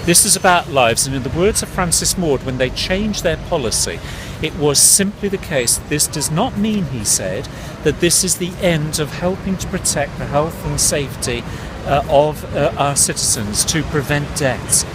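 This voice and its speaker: A man's voice: on the high side, animated, moderate pace. A man from the UK, a consumer campaigner, talking earnestly and directly to viewers outdoors. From United Kingdom. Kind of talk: outdoor campaign vlog to camera. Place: outdoors on a city street with traffic. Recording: outdoor street noise.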